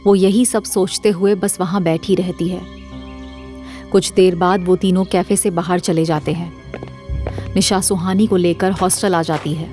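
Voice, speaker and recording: A woman's voice: on the low side, fairly even intonation, unhurried, dark tone, soft background music. A woman narrating a scripted dramatic story as the narrator of an audio series.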